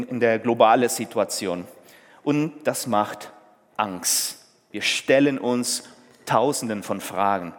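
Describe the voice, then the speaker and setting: A man from Peru preaches a sermon in German with a clear Spanish accent, his voice in the middle range for a man. He talks earnestly and lifts his voice to stress key phrases. The room has a long reverb, like a church hall. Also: mid-range voice. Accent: Peruvian Spanish. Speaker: pastor.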